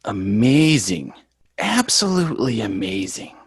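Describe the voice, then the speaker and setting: A sheepish sitcom schoolboy, acted by a man, earnest with a wry edge. The voice is husky and lively in pitch, recorded close and dry. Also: unhurried, dry recording, exaggerated voice. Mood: wry, encouraging. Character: sheepish, apologetic sitcom schoolboy, smooth-talking son. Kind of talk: amateur table read of a TV sitcom.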